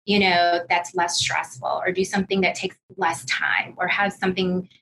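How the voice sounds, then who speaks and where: A woman's voice: lowish voice, flat delivery, moderate pace, sharp tone, slight background noise, poor audio. A woman speaking as an interview guest, telling personal stories conversationally with frequent "you know" asides. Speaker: podcast guest, financial services executive.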